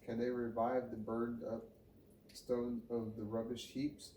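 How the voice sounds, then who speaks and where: A man's voice: medium pitch, monotone, unhurried, dark tone. A man reading scripture aloud, sometimes stumbling and repeating a phrase. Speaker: Bible reader. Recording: roomy, distant mic, faint noise.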